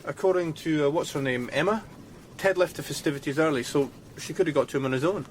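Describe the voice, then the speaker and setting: A man's voice: on the high side, quick pace, a little hiss or hum, close to an everyday voice. An actor playing a blunt male junior detective floating theories in a radio drama. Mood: speculative, blunt. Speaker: police officer.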